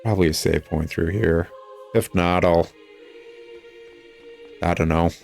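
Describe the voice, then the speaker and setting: Low-voiced, exasperated man griping over game music. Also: steady tone, unhurried, creaky, darkish tone. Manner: sarcastic, exasperated, chuckling griping. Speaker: gamer critiquing a video game. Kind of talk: casual gameplay commentary, reading dialogue aloud. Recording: music behind the voice. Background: video game music.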